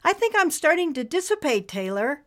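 Woman doing a weak wheezy little cloud guy, high and breathy, swoops around a lot. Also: big pitch swings, moderate pace, a bit bright. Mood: weak, fading. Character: small fading male cloud, weak and whiny.

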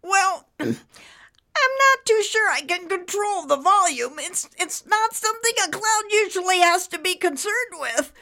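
A woman voicing a proud but anxious little male storm cloud in a strained, squeaky storybook voice. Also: animated, measured pace, sharp tone, exaggerated voice. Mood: nervous, straining.

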